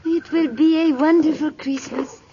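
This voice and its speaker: A woman's voice: sits high, steady tone, moderate pace, mellow, close to an everyday voice. An actress playing a sweet, devout young village girl, earnest and formally spoken, in 1950s radio style. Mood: earnest, curious. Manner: earnest, careful formal English. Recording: dull old radio recording, faint noise.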